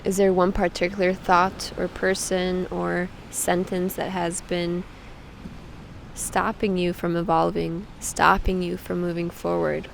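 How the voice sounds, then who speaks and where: A woman leading a guided meditation, giving soothing instructions with frequent pauses. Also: lowish voice, flat delivery, vocal fry, a little hiss or hum. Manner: slow, pausing, soothing instruction.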